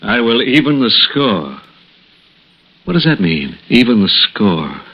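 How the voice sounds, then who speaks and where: An actor playing an earnest, worried doctor in a 1960s radio drama, pondering aloud in a deliberate way. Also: male voice, mid-range voice, sing-song, husky, exaggerated voice. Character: earnest, worried hospital doctor, brooding and thoughtful. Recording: muffled old radio recording.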